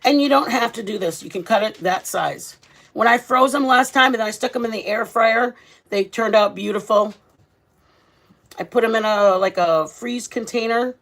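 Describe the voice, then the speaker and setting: A woman's voice: a little high, moderate pace, a bit bright. A woman hosting a home cooking vlog, talking casually and informally to her viewers in her kitchen. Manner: chatty, informal, addresses viewers as guys. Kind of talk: casual cooking demonstration to camera.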